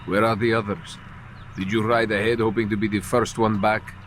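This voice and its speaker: Sneering low-voiced rival played by a man. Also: level tone, normal speed, a bit bright, slight background noise, natural voice. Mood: mocking. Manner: mocking, taunting. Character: sneering, jealous male assassin rival. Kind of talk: dramatic video game acting.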